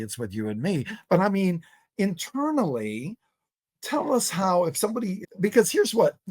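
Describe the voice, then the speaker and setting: A man's voice: a little high, a little mellow, dry recording. A man hosting a conversational interview, rewording his questions as he goes. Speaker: podcast host and interviewer.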